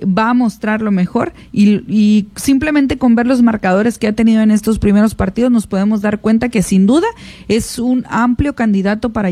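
A woman's voice: mid-range voice, mellow. A woman from Mexico giving enthusiastic, opinionated views on a sports talk show. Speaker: panelist.

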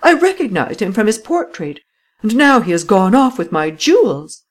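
A woman reading an audiobook voices a wealthy, theatrical lady who gasps out breathless, high exclamations. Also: big pitch swings, normal speed. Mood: alarmed. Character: rich frightened lady, excitable and theatrical.

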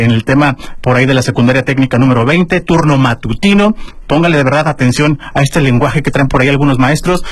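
A man with a Mexican Spanish accent hosting a casual radio news segment, chatting with his co-host and filling pauses with "eh". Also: a little high, breathy edge, no echo. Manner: chatty, warm address to listeners, many fillers. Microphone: studio microphone.

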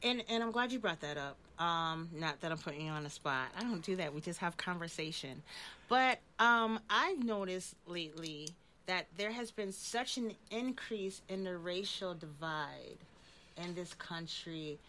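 A woman's voice: lowish voice, measured pace, bright, forceful tone, slight background noise. A woman of about fifty hosting a casual community talk, often murmuring agreement as she listens. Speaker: talk show host.

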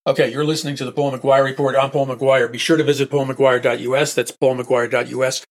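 A husky-voiced man hosts his own talk show, his throat hoarse and roughened. He preaches emphatically, circling back to repeat each point for the listener. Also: medium pitch, level tone, a little fast. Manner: emphatic and repetitive, restating points. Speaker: radio show host. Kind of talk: preaching talk-radio monologue.

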